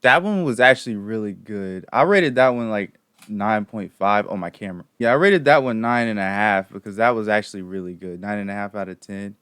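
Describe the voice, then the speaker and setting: A man's voice: fairly low pitch, a little fast, fairly bright tone, clear, resonant tone, no echo. A young man hosting a reaction video, talking in a casual, rambling, slangy way.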